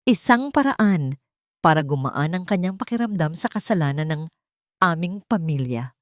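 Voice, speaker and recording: A woman voicing a calm, manipulative older rich father in a story, speaking deliberately and cuttingly. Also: lowish voice, no room sound, studio quality, natural voice.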